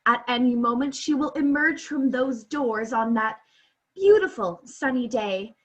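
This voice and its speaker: High-pitched earnest female radio reporter played by a student. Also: expressive, unhurried, muffled recording. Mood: earnest, stalling. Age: young adult. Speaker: student actor. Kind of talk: comedic radio play acting. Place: small bedroom at home. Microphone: laptop on a video call.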